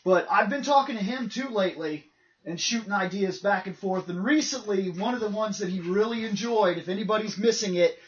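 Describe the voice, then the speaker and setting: Husky high-voiced man hosting a chat. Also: a little fast. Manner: laid-back, steers the talk. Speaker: podcast host. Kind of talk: casual chat. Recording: dull lo-fi recording.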